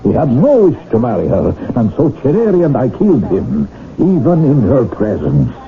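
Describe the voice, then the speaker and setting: An actor playing a menacing Italian gentleman murderer in a radio drama, formal and insinuating. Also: medium pitch, wildly swooping pitch, normal speed. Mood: coldly vengeful. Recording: tinny old radio broadcast.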